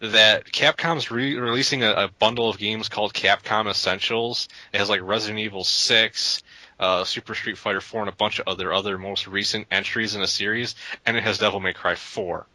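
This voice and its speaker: A man's voice: fairly low pitch, fairly even intonation, fairly quick, pushed and bright, no echo, low-quality recording. A man co-hosting a casual gaming podcast, talking in long rambling runs with frequent restarts. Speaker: podcast co-host.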